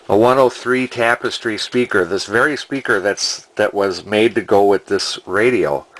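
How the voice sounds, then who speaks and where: Male hobbyist narrator, slightly low pitch, plainspoken and unhurried in manner, unscripted. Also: fairly bright tone, low-quality recording. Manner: deliberate, folksy, plainspoken. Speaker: antique radio collector and restorer. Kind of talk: casual unscripted video narration.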